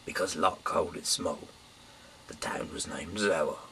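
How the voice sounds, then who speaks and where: Male, low but forceful voice, informal dramatic scripture reading, recorded at a distance. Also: measured pace, gravelly. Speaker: Bible reader. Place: small dim room indoors. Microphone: camera mic from a little way off. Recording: distant mic, faint background hiss.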